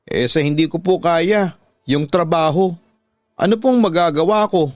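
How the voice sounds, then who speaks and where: A man's voice: on the high side, a little slow, a little mellow, no echo, studio quality, voice pushed up, close to an everyday voice. A man voicing a weary, defiant teenage daughter who defends herself pleadingly in a radio drama. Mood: frustrated, defiant. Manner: pleading, defensive, talking back. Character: weary defiant nineteen-year-old daughter, a housemaid.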